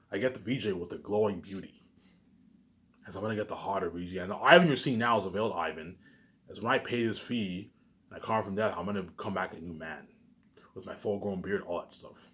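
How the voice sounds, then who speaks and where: Male vlogger, low-pitched and very quick, ranting boastfully with heavy repetition, swearing and sudden loud surges. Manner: repetitive, restarting phrases over and over. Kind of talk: rambling spoken monologue to online viewers.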